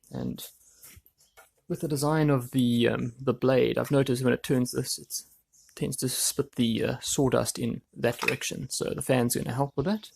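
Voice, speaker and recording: A man with a gravelly voice explaining a woodworking job casually, thinking aloud as he works. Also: medium pitch, slight background noise. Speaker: DIY woodworking video host. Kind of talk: casual hands-on tutorial talk.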